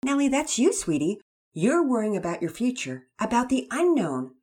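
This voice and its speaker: A woman's voice: fairly high pitch, big pitch swings, moderate pace, breathy edge, pitched above the performer's own voice. Patient devout father, read by a woman. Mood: instructive. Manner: gentle, instructive, scripture-quoting.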